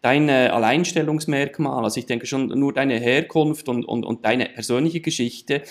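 A man's voice: mid-range voice, normal speed. Courteous male interviewer. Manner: courteous, long framing questions with fillers. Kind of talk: interview questions.

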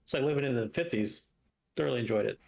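A man's voice: medium pitch, quick pace, vocal fry, heavy background noise. Man chatting casually as podcast co-host. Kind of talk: casual chat with live chat banter.

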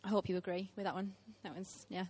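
A woman's voice: medium pitch, fairly even intonation, quick pace, darkish tone, breathy edge. A woman, preaching a heartfelt sermon to a church with frequent ums. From Teesside, England. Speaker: guest preacher.

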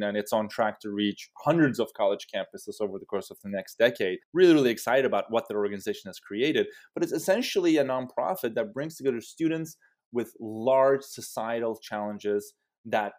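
A man in his thirties from Turkey, telling personal stories as an interview guest and acting out remembered dialogue in an animated manner. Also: medium pitch. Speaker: interview guest, startup co-founder. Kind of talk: conversational personal storytelling.

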